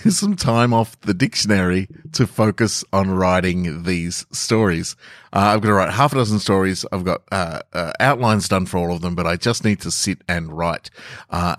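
A man's voice: sits low, no echo, studio quality. A man with an Australian accent hosting a podcast, talking informally and chattily in a self-effacing way. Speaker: podcast host and writer. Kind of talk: casual podcast announcement.

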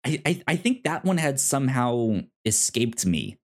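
A man co-hosting a casual movie discussion, enthusiastic, with halting delivery and repeated, stammered sentence starts. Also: medium pitch, big pitch swings. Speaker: podcast co-host.

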